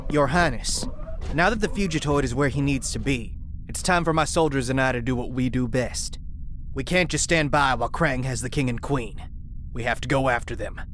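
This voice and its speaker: A man's voice: medium pitch, normal speed, a bit bright, natural voice. Man playing a stern, firm military commander. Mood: businesslike. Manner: authoritative, firm, rallying. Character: stern, steadfast male military resistance commander. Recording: faint background noise.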